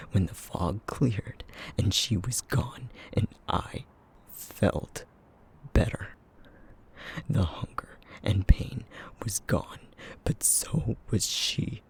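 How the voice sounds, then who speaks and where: A man's voice: lowish voice, a little mellow. Man acting a brooding, whispering feral creature. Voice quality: breathy, half-whispered, gravelly. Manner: slow, brooding, bitterly sarcastic. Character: brooding, guilt-ridden, bitter male essence-eating creature. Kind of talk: ASMR roleplay audio drama.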